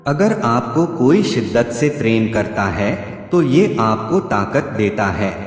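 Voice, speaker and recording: A man narrating scripted motivational quotes in measured, aphoristic short phrases. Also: medium pitch, very animated. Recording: echoey hall reverb with background music. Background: music.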